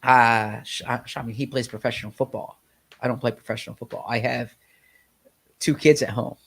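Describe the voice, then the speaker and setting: A man's voice: medium pitch, fairly even intonation, gravelly, a bit bright, slightly rough. Slightly nasal man with a cold. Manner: halting, with frequent restarts. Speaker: sports podcast co-host. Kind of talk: casual chat.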